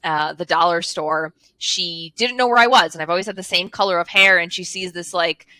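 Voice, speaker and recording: A woman's voice: fairly low pitch, brisk, sharp tone, no echo, low-quality recording. A woman, telling her story as a guest over a headset video call, earnest and fluent with frequent fillers. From Chicago, USA. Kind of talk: casual interview storytelling. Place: home office with blinds, indoors.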